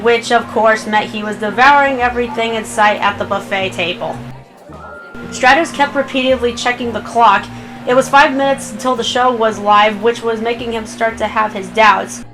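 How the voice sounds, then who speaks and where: A woman narrates a written story in a fairly high voice. She reads the scripted prose aloud as storyteller, with music clearly playing underneath. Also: low-quality recording. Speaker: fan fiction reader, narrator.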